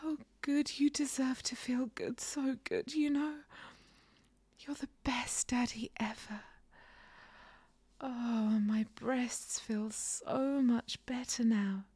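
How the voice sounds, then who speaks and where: A woman in an intimate whispered audio roleplay, talking in a breathy, sighing whisper close to the mic. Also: a little high, fairly even intonation, takes it slowly, a little mellow, no echo.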